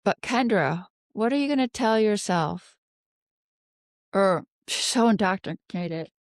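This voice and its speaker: A woman host reads tarot cards in a slow, deliberate delivery. Her voice is smooth and clear, recorded close and dry. She thinks out loud, pausing often and putting questions to the air. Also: mid-range voice, animated, no echo. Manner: halting, pondering aloud with rhetorical questions. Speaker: tarot card reader, host. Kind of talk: unscripted tarot reading commentary.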